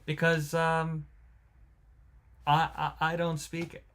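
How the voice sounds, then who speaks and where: A man runs a casual live watch-along and talks straight to his viewers. He jokes, laughs easily and greets people by name between remarks. His voice sits a little higher than most men's. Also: completely flat delivery, slow, fairly bright tone, smooth. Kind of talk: casual live-stream commentary.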